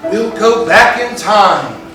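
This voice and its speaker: A man playing a sinister, theatrical horror host with a drawn-out, ominous delivery in a spooky stage introduction. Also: sits high, big pitch swings, exaggerated voice. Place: indoor theatre, recorded from far off. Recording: distant roomy hall recording with music.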